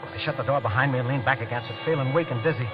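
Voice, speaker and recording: A man's voice: mid-range voice, lightly acted. An actor playing a guilty, panicky man on the run after a killing, narrating in the first person in old radio drama style, tense and prone to stammering. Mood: haunted, brooding. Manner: tense, hurried, stammering when questioned. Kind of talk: 1940s-50s radio acting, first-person thriller narration. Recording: dull old radio broadcast with music.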